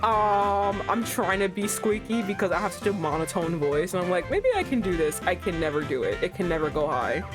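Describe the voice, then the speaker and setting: A woman hosting a game stream, excitable and laughing a lot, swearing freely as she reacts. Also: fairly low pitch, brisk, hardly any pauses. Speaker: streamer, host. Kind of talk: casual game stream chat. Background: game music.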